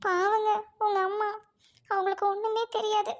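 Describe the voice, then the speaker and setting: A woman voicing a humble young bride who speaks softly and politely to her new family. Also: extremely high pitch, level tone, moderate pace. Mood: soft, pleading. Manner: soft, polite, keeps addressing mother-in-law.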